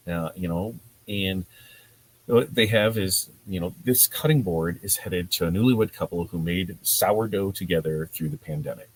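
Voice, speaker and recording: A man with a fairly low, husky voice hosts an informal online lesson. His pitch moves around in a lively way as he talks to viewers. He speaks haltingly and conversationally, often checking in with them. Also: poor audio. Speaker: host and presenter of a livestream marketing talk for makers. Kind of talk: casual livestream teaching talk to viewers in a chat.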